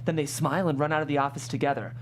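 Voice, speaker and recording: A woman's voice: sits low, steady tone, racing. A woman reading stage directions as scripted narration at a comedy table read. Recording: faint room noise and hum.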